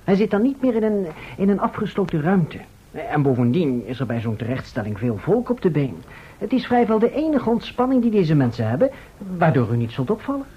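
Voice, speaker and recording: A muffled old radio play recording with faint noise, where a polite, all-knowing gentleman. His fairly high voice moves animatedly up and down as he explains with calm assurance. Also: a little fast, husky, full character voice. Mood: assured, knowing. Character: knowing, amused, polite gentleman who foretells events. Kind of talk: Dutch radio play acting.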